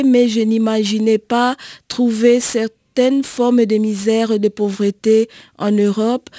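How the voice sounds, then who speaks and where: A woman with a Congolese accent, an interview guest explaining her experience in a measured way with hesitant pauses. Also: on the high side, warm tone, dry recording, studio quality. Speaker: nun and volunteer, interview guest.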